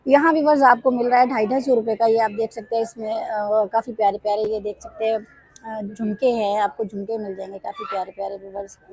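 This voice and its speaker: A woman vlogger, hoarse from a sore throat, walking through market stalls and pitching bargains to her viewers. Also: darkish tone, no echo, low-quality recording. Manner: repeatedly addresses viewers, upbeat sales pitch. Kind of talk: casual walkthrough commentary. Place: busy weekly market stalls.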